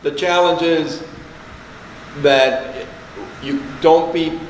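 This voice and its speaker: A man's voice: fairly high pitch, fairly even intonation, very slow, slight background noise. A man on a panel making his point earnestly. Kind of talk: panel discussion. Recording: echoey hall.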